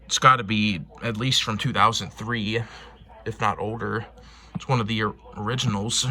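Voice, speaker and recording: A man's voice: on the low side, steady tone, normal speed, pushed and bright. A man giving a casual, unscripted show-and-tell as a hobbyist collector, in a hesitant, rambling, plain-spoken way. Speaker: hobbyist collector presenting weather radios.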